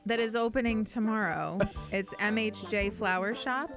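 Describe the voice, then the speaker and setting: A woman's voice: mid-range voice, moderate pace, over music. An American woman co-hosting a podcast, giving announcements in a casual, chatty way. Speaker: podcast co-host.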